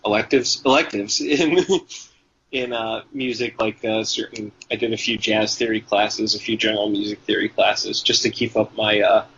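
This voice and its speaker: A man's voice: on the low side, level tone, normal speed, fairly bright tone, breathy edge. A man from Tennessee, a guitarist guest, answering interview questions in a rambling, hesitant way with many ums and restarts. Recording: dull, lo-fi sound.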